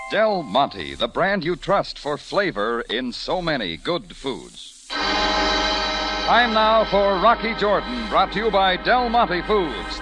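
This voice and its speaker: A man announcing an old-time radio show in a polished, declamatory style. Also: high-pitched. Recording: old radio broadcast with music.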